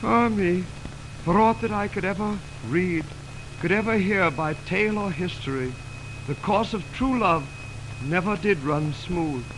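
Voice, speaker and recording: Young male romantic lead, high-pitched, declamatory and ardent, Shakespeare on vintage radio, strong background hiss. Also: unhurried. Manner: declamatory, theatrical. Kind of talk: 1920s radio Shakespeare acting. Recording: noisy 1929 radio aircheck on film.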